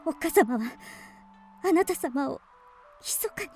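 A devoted young maiden in a period radio drama, fretful and pleading. Her voice is extremely high and swings widely in pitch, with music behind it. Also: animated, slow, warm tone, heavily acted. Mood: anxious, pleading.

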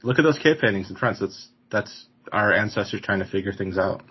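A man's voice: on the low side, expressive, brisk, husky. A man in his forties hosting a podcast interview, rambling casually with frequent laughs and restarts. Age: 40s. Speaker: podcast host. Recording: dull lo-fi sound.

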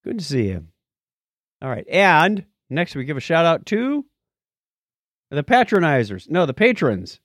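A man's voice: fairly high pitch, big pitch swings, a little slow, long pauses, a bit bright, no echo. A man hosting a podcast in a casual, playful, joking style. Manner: jokey, playful. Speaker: podcast host. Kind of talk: casual chat, listener shout-outs.

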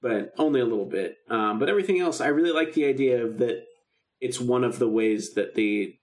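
A man with a mid-pitched voice co-hosts a podcast in a loose, chatty way. He retells scenes informally and animatedly, slipping into mock dialogue as he goes. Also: a little fast, a little mellow. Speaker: podcast co-host. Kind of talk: casual chat about a film radio drama.

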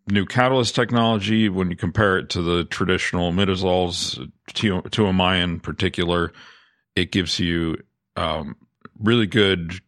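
A man's voice: sits low, fairly bright tone, no echo, clean studio sound. A man co-hosting a podcast, presenting industry news in a casual discussion style.

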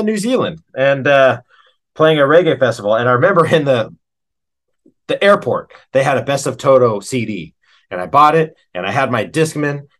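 A man's voice: medium pitch, fairly bright tone. A man speaking as an interview guest and musician, chatting informally in a rambling, anecdotal way. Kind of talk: casual anecdotal chat.

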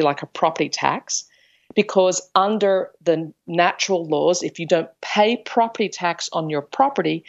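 A woman's voice: medium pitch, highs cut off. A woman giving an earnest spiritual teaching as a Bible teacher, explaining carefully and stressing key phrases.

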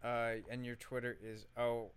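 A man's voice: medium pitch, monotone, measured pace, smooth. A man co-hosting a podcast in casual conversation, informal, slangy and opinionated. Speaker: podcast co-host.

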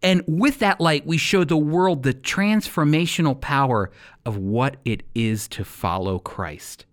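A man's voice: fairly high pitch, lively intonation, a little slow. A man hosting and teaching on a radio Bible program, speaking in an earnest, emphatic, sermon-like way.

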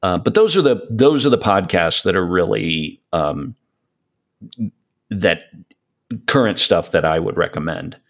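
A man's voice: deep voice, lively intonation, measured pace, long pauses. A man in casual podcast chat, giving recommendations in a rambling way as he searches for his words. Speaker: podcast guest.

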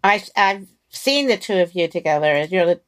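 A woman's voice: on the low side, expressive, moderate pace, fairly bright tone. A woman interviewing a guest, effusive and admiring in her remarks.

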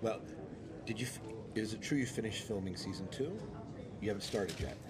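Male podcast interviewer, fairly low voice with lively intonation, informal chat, loud crowd around him. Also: fairly quick. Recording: loud crowd chatter behind. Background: busy crowd.

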